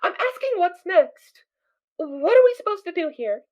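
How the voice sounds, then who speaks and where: A woman's voice: very high voice, big pitch swings, moderate pace, highs cut off. A woman playing an innocent, brave little filly in an amateur audio drama, with a childlike, guileless way of talking. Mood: chirpy, eager.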